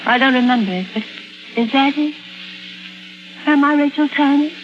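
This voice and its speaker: A woman's voice: high voice, normal speed, lightly acted. An actress playing a troubled woman painter with amnesia, brooding and intense, in 1950s radio drama style. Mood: suspicious, insistent. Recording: dull vintage 1950s radio recording. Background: dramatic music.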